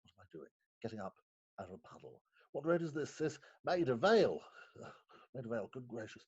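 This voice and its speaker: Man playing a husky, stammering, flustered magistrate. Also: mid-range voice, sing-song, a little fast, a little mellow. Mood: dazed, rueful. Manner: stammering, hesitant, exclaiming. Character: flustered, guilt-ridden, respectable London magistrate, disheveled after a night out. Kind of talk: theatrical comic monologue, staged reading. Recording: dull lo-fi remote recording.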